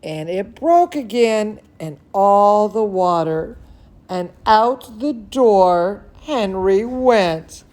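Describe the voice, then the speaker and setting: A woman telling bedtime stories and chatting cozily to young children in an expressive, soothing, singsong manner. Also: medium pitch, drawn-out words. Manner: chats playfully to a pet or companion. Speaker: dance studio teacher reading bedtime stories to children. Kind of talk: children's bedtime storytelling and cozy chat.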